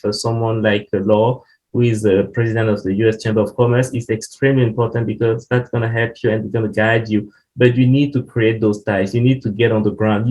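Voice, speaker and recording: A man from Cameroon, a company CEO, speaking on a webinar panel in an earnest, halting way with frequent fillers over a remote call mic. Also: medium pitch, darkish tone, rough recording.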